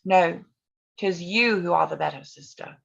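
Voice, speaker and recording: A woman playing a gentle, forbearing young gentlewoman in a staged reading of a period drama, earnest and polite, on slightly dull audio. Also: on the low side, measured pace, creaky, breathy edge, full character voice. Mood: gently chiding.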